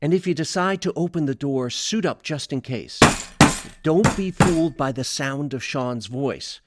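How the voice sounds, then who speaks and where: A man's voice: fairly high pitch, animated, measured pace, very noisy. A man playing an anxious, protective man in a radio play, earnest and wordy as he cautions. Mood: urgent warning. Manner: urgent, earnest, wordy explanations.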